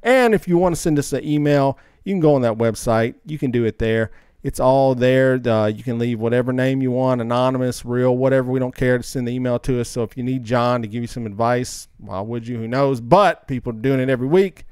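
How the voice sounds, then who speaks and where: A man's voice: medium pitch, brisk, darkish tone, smooth, dry recording, clean studio sound. A man hosting a podcast, chatting and making announcements in an informal, rambling way. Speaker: podcast host. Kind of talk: casual chat, show announcements.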